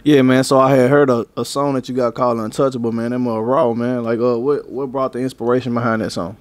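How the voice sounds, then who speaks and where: Man, interviewer, relaxed slangy talk, medium pitch. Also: quick pace, a little hiss or hum. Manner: relaxed, slangy, repeats "man" and "you know what I'm saying". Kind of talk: casual interview.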